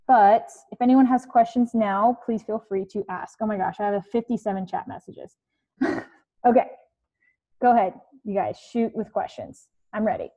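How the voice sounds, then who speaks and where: A woman's voice: medium pitch, lively intonation, normal speed, dark tone, muffled recording. A young woman coaching students on a video call from her living room, chatty with many fillers. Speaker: career coach. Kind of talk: casual online presentation. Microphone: earbud headset on video call.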